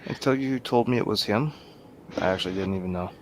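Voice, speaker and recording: Lowish man's voice, slight background noise. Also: fast. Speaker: film review panelist. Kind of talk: casual chat.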